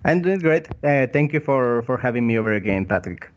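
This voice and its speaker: A man from Venezuela with a smooth, resonant voice, answering a podcast host's questions. He explains things in a measured way, and his audio sounds dull and slightly lo-fi. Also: mid-range voice, lively intonation. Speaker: guest. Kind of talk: interview answers.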